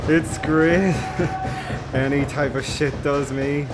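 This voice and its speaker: A man's voice: on the high side, fairly even intonation, slow, loud music behind the voice, low-quality recording. An actor playing a carefree drug-using prisoner, relishing his monologue in a radio play. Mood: gleeful, dreamy.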